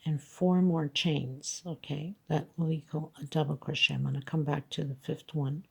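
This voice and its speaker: A woman's voice: sits low, steady tone, normal speed, creaky, darkish tone, husky. A woman teaching crochet step by step, counting stitches patiently, her voice congested. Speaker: crochet instructor. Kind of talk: step-by-step craft tutorial.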